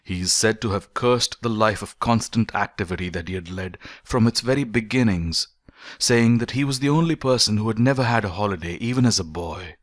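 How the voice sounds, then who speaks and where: A man reading a philosophical essay as an audiobook narrator, in measured, deliberate phrases with emphatic stresses. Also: on the low side, no echo, clean studio sound.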